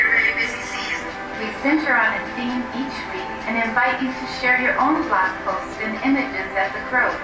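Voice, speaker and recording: A woman giving a slow, earnest scripted voice-over in a promotional video. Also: mid-range voice. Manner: slow, earnest, repeated phrasing. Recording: video played in a hall, far off, with music.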